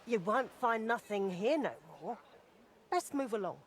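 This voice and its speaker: An actress playing a kindly, wistful older widow and plain-spoken townswoman with a husky voice in a video game. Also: fairly high pitch, lively intonation, unhurried, slight background noise. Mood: wary. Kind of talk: video game dialogue acting.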